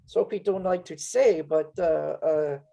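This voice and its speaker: A woman's voice: low-pitched, creaky, dark tone, exaggerated voice. A woman playing a shy lonely foreign man. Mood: plaintive. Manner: halting broken English, hesitant fillers.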